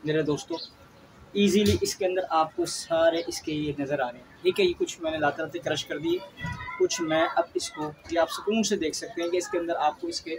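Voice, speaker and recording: A man's voice: high-pitched, big pitch swings, brisk. A man with a Pakistani accent giving an informal how-to vlog, explaining emphatically and repeating himself. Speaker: angler presenting a fishing tutorial. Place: outdoors in a park, later another spot after leaving it. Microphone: phone camera, some distance away. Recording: distant mic, noisy ambient background.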